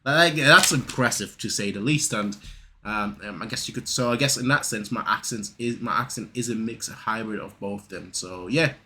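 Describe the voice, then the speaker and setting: A young man in his late twenties with a northern English accent, a mix of Manchester and Lincoln with a faint German trace, talks loosely and casually. His voice is bright and forward, and he meanders with fillers and restarts. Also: on the low side, normal speed, slight background noise. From south Germany. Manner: rambling, many fillers and restarts. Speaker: reaction video host. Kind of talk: casual reaction commentary.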